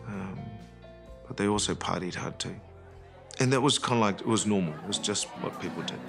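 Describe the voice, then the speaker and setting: A man's voice: low voice, fairly quick. A man giving a candid, reflective personal account in a documentary interview. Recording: music playing clearly behind the voice. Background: music.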